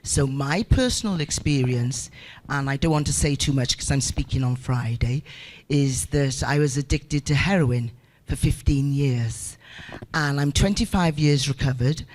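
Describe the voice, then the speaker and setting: A woman's voice: a bass voice, measured pace, darkish tone. Woman, 60s, panel speaker, candid and reflective. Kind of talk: forum discussion remarks.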